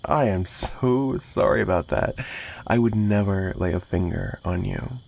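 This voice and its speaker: A man's voice: low-pitched, unhurried, gravelly, darkish tone, heavily acted. A man playing a possessive, menacing mafia boss in an ASMR roleplay, taunting the listener with theatrical mock sweetness and threats. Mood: mock-apologetic, obsessive. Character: possessive, menacing young male mafia boss, obsessive kidnapper. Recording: noticeable background noise.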